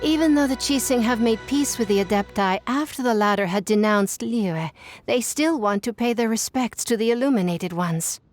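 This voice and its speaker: A woman's voice: a little high, moderate pace, faint music behind, lightly acted. A woman voicing a proper, overworked private secretary in a video game, speaking in a formal, explanatory way. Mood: composed.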